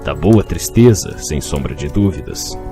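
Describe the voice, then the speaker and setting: A man's voice: a bass voice, lively intonation, a little slow. A man with a Brazilian accent, narrating a scripted fantasy tale as a dramatic storyteller. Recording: music behind the voice. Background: musical backing.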